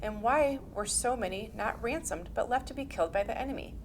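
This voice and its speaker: A woman's voice: on the high side, brisk, poor audio. Woman reading formally, faint background noise. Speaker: reader. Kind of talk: scripted reading of historical religious text.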